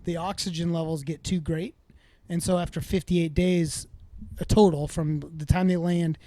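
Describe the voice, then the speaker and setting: Male podcast co-host, high-pitched voice, casual and irreverent news banter. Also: flat delivery, moderate pace, dark tone. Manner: irreverent, crude slang. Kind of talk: casual chat, joking news segment.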